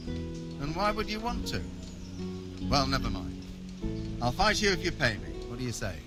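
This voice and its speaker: An actor playing an offhand, mercenary brawler in a video game. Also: male voice, mid-range voice, sing-song, moderate pace, loud music behind the voice, natural voice. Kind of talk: video game dialogue acting.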